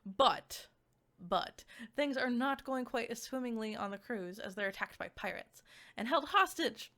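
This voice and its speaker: A woman's voice: on the high side, lively intonation, unhurried, bright, forceful tone. Casual, rambling woman reviewing games. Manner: giggly, rambling, self-interrupting. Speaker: VTuber game reviewer. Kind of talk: casual, unscripted review chat.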